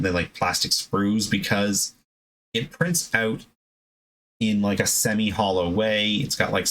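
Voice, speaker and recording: A man hosting a hobby video, giving a casual, unscripted explanation in a conversational, halting way with self-corrections. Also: sits low, a bit bright, low-quality recording.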